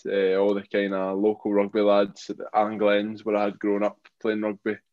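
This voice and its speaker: A man's voice: lowish voice, completely flat delivery, normal speed, no room sound, narrow, tinny audio. A young Glaswegian man, a rugby player turned boxer, answering interview questions in a rambling way, full of fillers and repeated phrases. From Scotland.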